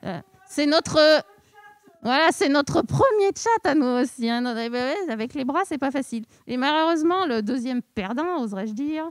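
A woman presents a casual live show with a fairly high voice. She banters playfully with her co-host. She speaks through a mask into a handheld mic, with very little room sound. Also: lively intonation, normal speed, no echo. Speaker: co-host, librarian. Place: small decorated indoor stage set. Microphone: handheld microphone, speaking through a face mask.

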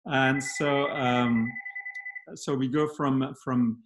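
A man speaking as a panel guest in a reflective discussion, slow and measured with many pauses and fillers, on a video call from a home study. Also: medium pitch, fairly even intonation. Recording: roomy video call audio.

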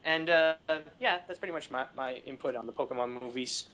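A man in a casual film discussion, speaking haltingly and searching for his words, often saying "like". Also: medium pitch, background noise. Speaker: podcast panelist.

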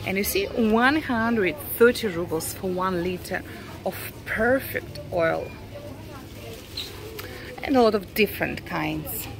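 A Russian woman with a Russian accent, talking casually as a vlogger while she walks through a grocery store, halting often with "uh" and restarts. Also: mid-range voice, lively intonation. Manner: unhurried, halting, frequent uh and restarts. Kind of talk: casual shopping vlog walk-through. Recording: clear store background noise.

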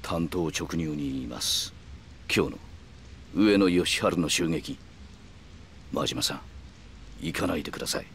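An actor playing a calm, calculating middle-aged yakuza man who speaks in polite, measured, formal phrases in a video game. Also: deep voice, creaky, a little hiss or hum, exaggerated voice. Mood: cool, firm. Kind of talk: Japanese video game cutscene acting.

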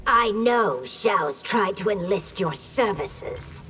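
A woman's voice: mid-range voice, expressive, slow pace, fairly bright tone, soft background music. An actress voicing a fierce, taunting masked female assassin.

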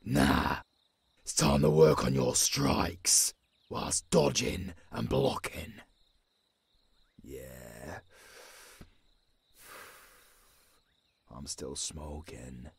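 A legendary old werewolf mentor for an audio roleplay, with a rough London British accent. The voice is extremely low and crackles with heavy gravel. He speaks slowly, in a tough, commanding yet caring way. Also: very animated, dry recording, full character voice. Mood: gruff, commanding. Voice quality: very deep, raspy, gravelly growl. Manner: slow, rough, swearing, commanding.

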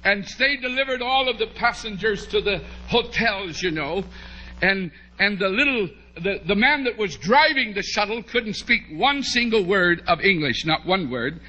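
A middle-aged American man preaching, telling a humorous story to a congregation in an animated way with drawn-out emphasis. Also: sits high, dull audio. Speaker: preacher, bishop. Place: large church hall, at a pulpit before a congregation. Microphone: podium microphone on a stand.

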